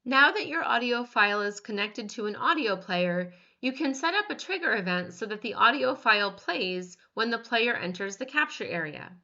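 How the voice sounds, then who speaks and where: A woman reading scripted step-by-step instructions as a tutorial narrator, measured and clearly enunciated. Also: on the high side, lively intonation, sharp tone, muffled recording.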